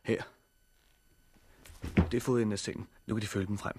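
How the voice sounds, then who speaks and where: Husky, low-voiced radio drama hospital patient. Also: male voice, little pitch movement, darkish tone, no room sound. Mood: calm, wry. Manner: theatrical, muttering to exclaiming. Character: bandaged hospital patient, newly able to see among the blind. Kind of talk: 1960s radio drama acting.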